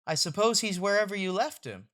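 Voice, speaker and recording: A woman's voice: mid-range voice, expressive, a little fast, a bit bright, lightly acted. A woman voicing a practical, caring, mildly exasperated mother in a motherly, matter-of-fact manner for a comic strip read aloud.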